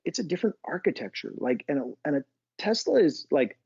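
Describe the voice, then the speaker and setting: A man's voice: a little high, very animated, a little fast, creaky, a little mellow, slightly rough, dry recording, highs cut off. An American man, a business school professor, talking through ideas in a casual podcast interview with frequent restarts. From United States. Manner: thoughtful, restarts often, many fillers.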